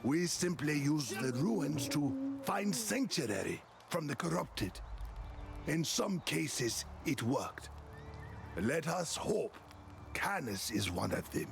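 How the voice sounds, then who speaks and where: A solemn keeper of ancient secrets in a fantasy game. The voice is slow and weighty, with a lot of rise and fall and a gravelly edge. Each phrase comes out measured and portentous. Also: male voice, fairly high pitch, very animated, music in the background, full character voice. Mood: grave, foreboding. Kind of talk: video game dialogue acting.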